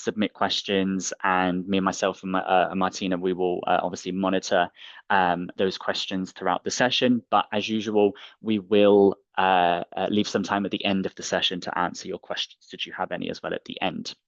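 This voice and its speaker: A man hosting a live training webinar, talking in a relaxed way with many fillers. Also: sits low, no echo, dull audio. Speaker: training team manager, webinar host.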